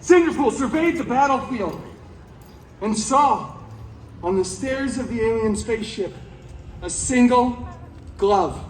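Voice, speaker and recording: A man playing a flamboyant, sarcastic antihero, telling a comic parody fairy tale to an audience with dramatic pauses. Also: extremely high pitch, animated, a little hiss or hum, full character voice. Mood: sarcastic, theatrical. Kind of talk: comic live storytelling to an audience. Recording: roomy, distant live event with music behind.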